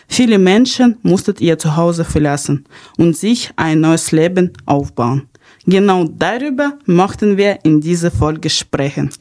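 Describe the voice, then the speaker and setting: A woman's voice: fairly low pitch, darkish tone. Young Ukrainian-accented woman hosting a podcast. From Kharkiv, Ukraine. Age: young adult. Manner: non-native German with small grammar slips. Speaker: podcast host. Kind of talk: podcast narration of personal experience.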